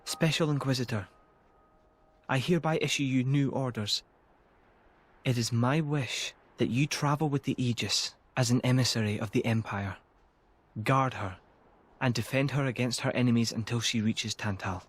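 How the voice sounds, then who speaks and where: A man playing a gracious young emperor in a video game, speaking in a formal, measured and thoughtful way. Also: mid-range voice, close to an everyday voice. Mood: commanding. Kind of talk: dramatic video game voice acting. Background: music.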